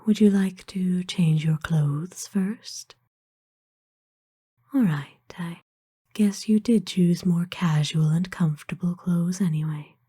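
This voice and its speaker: A woman playing a kind, aristocratic vampire in a whispered ASMR roleplay, speaking gently and soothingly with formal, old-fashioned phrasing. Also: fairly low pitch, slow pace. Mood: tender, soothing. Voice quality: breathy, hushed whisper. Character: kind, doting, aristocratic female vampire owner.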